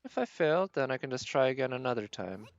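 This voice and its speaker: A man's voice: mid-range voice, lively intonation, a little fast, dull audio. A man doing casual live gameplay commentary as a streamer, thinking aloud as he narrates his own decisions. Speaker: game streamer.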